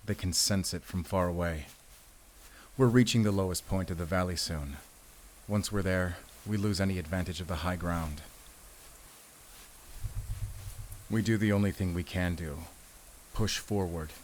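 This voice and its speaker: A man playing a battle-weary werewolf hunter speaks in a low voice, solemn and commanding. He addresses the listener closely and protectively, as in a cinematic audio drama. Outdoor ambience runs noticeably behind the words. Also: slight background noise, close to an everyday voice. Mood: wary. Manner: grave, deliberate, commanding.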